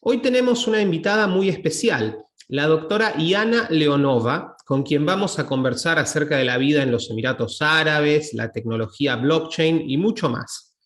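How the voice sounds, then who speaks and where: A man's voice: fairly high pitch, fairly quick, a bit bright, reverberant. A man with a Latin American Spanish accent hosts a podcast, introducing his guest and asking questions with some hesitant, repeated words. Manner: hesitant, repeats words when switching to English. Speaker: podcast host. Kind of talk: podcast interview.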